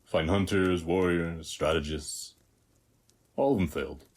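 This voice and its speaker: An actor playing a calm, hospitable warrior who guards a seized temple, speaking in an unhurried, measured way in an audio roleplay. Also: male voice, low voice. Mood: solemn, frank. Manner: unhurried, measured, with long pauses between short phrases. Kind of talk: scripted audio roleplay, speaking softly to the listener.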